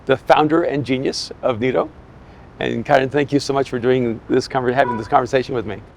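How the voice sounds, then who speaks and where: A man's voice: medium pitch, steady tone, a little fast, vocal fry, a little hiss or hum. A man hosting an interview podcast outdoors on a city street, talking in an earnest, effusive way. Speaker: podcast host.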